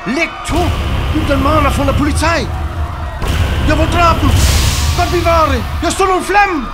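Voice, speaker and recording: An actor playing an alarmed radio reporter in a North Frisian radio play, giving an eyewitness account with a North Frisian accent. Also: male voice, extremely high pitch, measured pace, shouting, over loud music. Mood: panicked shouting. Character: alarmed male radio reporter describing an unfolding alien attack.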